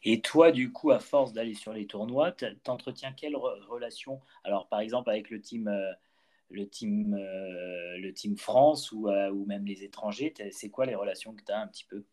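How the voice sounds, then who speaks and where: A man with a low voice puts casual questions to his guest. He asks in a halting, informal way, breaking off and rephrasing as he goes. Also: mellow. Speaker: golf coach interviewer.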